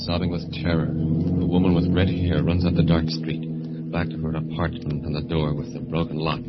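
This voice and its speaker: A grave male narrator of an old radio thriller. His deep voice is ominous and melodramatic, over very loud music on a muffled vintage recording. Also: flat delivery, normal speed. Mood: ominous, urgent. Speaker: narrator and announcer. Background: very loud dramatic music.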